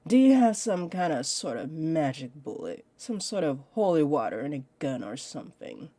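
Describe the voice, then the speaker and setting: A woman's voice: deep voice, very animated, warm tone, no echo. An actress playing a teasing, possessive female vampire in an audio roleplay, condescending and confident, speaking straight to the listener. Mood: skeptical, probing. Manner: smug, taunting, unhurried.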